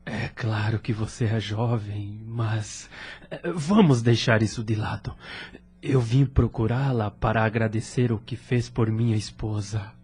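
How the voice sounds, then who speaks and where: A desolate Brazilian widower in a radio-style audio drama. He speaks slowly, in a muted, slightly husky voice, formal and hesitant in his sorrow. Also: fairly low pitch, fairly even intonation, a little mellow. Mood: awkward, courteous. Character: grieving widower, courteous, hesitant, desolate and lonely. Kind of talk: Portuguese-language audio drama acting.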